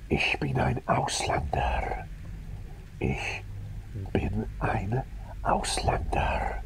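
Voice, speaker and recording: A man performs an eccentric male plague doctor in an ASMR roleplay. The breathy whisper sinks very low and crackles with gravel, swinging widely in pitch. He rambles playfully and reassures the listener softly, as if tending to a patient. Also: exaggerated voice.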